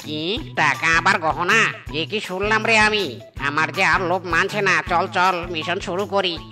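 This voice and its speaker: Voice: mid-range voice, takes it slowly, close to an everyday voice. An actor voicing a greedy monkey thief sidekick in a comedy cartoon, with a drawling delivery. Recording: music behind the voice.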